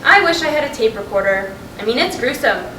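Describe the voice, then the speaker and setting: A woman's voice: on the high side, expressive, a little fast, pushed and bright. A woman in a staged play reading, playing a blunt, restless middle-aged wife who is fed up. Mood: needling, curious. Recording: echoey room from far off, noisy.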